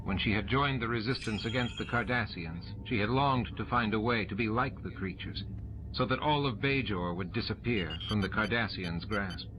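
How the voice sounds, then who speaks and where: Low male audiobook narrator, lo-fi. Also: moderate pace. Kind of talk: scripted narration of a science fiction novel. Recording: dull lo-fi tape, noisy ambient effects. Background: added ambient sound effects.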